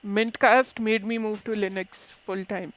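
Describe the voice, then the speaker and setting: Man from India, high voice, earnest, dull lo-fi line, outdoors. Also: little pitch movement, measured pace, fairly bright tone. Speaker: podcast guest. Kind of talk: casual roundtable chat. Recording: dull lo-fi call audio.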